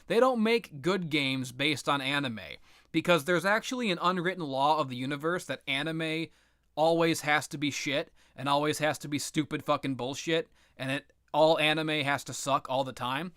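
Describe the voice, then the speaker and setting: A man giving casual, rambling, opinionated video game commentary with sarcastic, profane ranting and a halting, repetitive delivery. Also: a little high, fairly bright tone, no room sound, studio quality. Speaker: video game reviewer and host.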